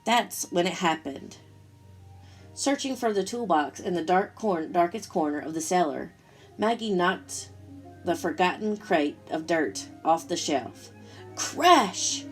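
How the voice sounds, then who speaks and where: A woman storyteller reading a picture book aloud to children in an expressive way, talking directly to her listeners. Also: on the low side, takes it slowly, soft background music, rough recording. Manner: expressive, asks the listeners questions.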